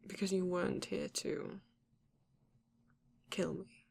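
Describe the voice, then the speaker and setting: A woman playing a flirty, courteous vampire in an ASMR romance roleplay, breathy and often dropping to a whisper, with genteel, teasing phrasing. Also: medium pitch, little pitch movement, a little mellow, full character voice. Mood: amused, chiding. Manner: slow, genteel, teasing, old-fashioned wording. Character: flirty, courteous vampire living alone in a castle.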